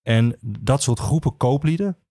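A man, an expert guest explaining things in a measured, hesitant interview style. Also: mid-range voice, expressive, mellow, no echo, clean studio sound. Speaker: guest, archivist and historian.

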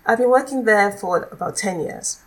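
A middle-aged woman with a Nigerian accent, a law lecturer explaining her work in a measured, articulate way as a podcast guest. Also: mid-range voice, a bit bright, rough recording. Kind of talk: podcast interview.

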